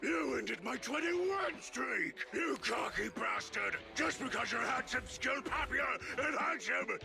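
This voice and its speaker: A man's voice: extremely high pitch, lively intonation, a little slow, gravelly, over music, exaggerated voice. An actor playing a hot-headed, boastful young male rival in a game, theatrical and indignant. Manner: indignant, exaggerated shouting. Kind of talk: theatrical animated game voice acting.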